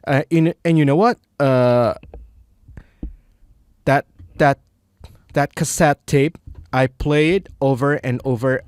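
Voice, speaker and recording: Slow-talking Indonesian man, clear voice. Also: mid-range voice, darkish tone, no echo, clean studio sound. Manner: unscripted, many restarts and fillers. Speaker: language learner. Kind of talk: casual monologue.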